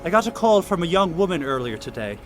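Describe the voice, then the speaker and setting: A man's voice: a little high, big pitch swings, fast, soft background music. An actor playing a practical, worried shipmate who reasons pointedly with his captain in an audio drama. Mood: pointed, persuading. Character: worried, practical male partner of a boat captain. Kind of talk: full-cast audio drama acting.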